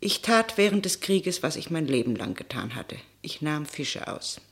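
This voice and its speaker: A woman's voice: sits low, sing-song, moderate pace. Sorrowful, weary mother. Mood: resigned, wistful. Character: weary, sorrowful Italian working-class mother, narrator. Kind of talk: classic German radio drama acting, plain storytelling.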